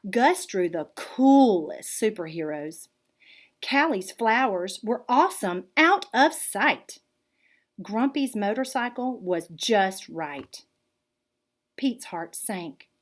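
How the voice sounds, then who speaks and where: Slow, lively woman reading a picture book. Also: medium pitch. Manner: expressive, deliberate storytelling for young children. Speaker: art teacher reading aloud. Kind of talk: animated children's picture book read-aloud.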